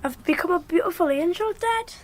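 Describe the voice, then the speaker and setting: Dreamy little northern english lad, really high voice, innocent but insistent, radio play. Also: animated, a little fast, slight background noise. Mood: pleading. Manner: innocent, insistent, matter-of-fact. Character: earnest, dreamy young boy training as an angel. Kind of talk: 1990s radio drama acting.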